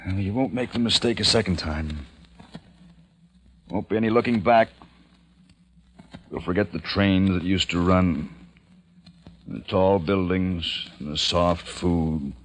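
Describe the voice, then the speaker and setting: Deep-voiced, weary survivor in radio drama. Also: male voice, a little slow, pauses often, a little hiss or hum. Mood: resolute, weary. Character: weary, grieving male survivor leading a small community. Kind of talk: old-time radio acting with first-person narration. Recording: old radio recording with music behind.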